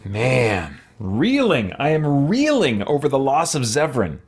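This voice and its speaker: A man's voice: medium pitch, huge pitch swings, takes it slowly, breathy edge. A man giving casual let's play commentary as a video host, thinking aloud with muttered asides and exclamations.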